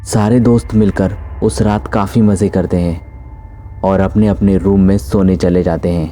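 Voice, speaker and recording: A man's voice: low voice, fairly even intonation, unhurried, warm tone, soft background music. A man narrating a scripted horror story as its storyteller.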